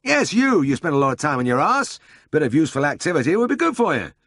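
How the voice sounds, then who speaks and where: A man voicing a brisk, impulsive middle-aged vet who runs the practice and talks in breezy, bossy exclamations. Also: a little high, big pitch swings, a bit bright, no echo, clean studio sound, voice pushed up.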